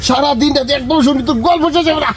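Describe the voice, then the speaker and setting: A man playing a compulsive, excitable village storyteller in a comedy skit, insistent and repetitive, with a rural Bangladeshi Bengali accent. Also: very high voice, very fast. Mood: boastful, animated. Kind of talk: comedy skit acting with colloquial dialogue. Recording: clearly noisy recording with clicks.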